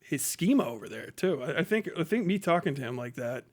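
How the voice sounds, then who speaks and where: A man with a slightly high voice talks as a live stream host. He rambles casually and bluntly, cursing freely as he tells his stories. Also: very animated, a little fast. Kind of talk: casual, profane ranting and storytelling.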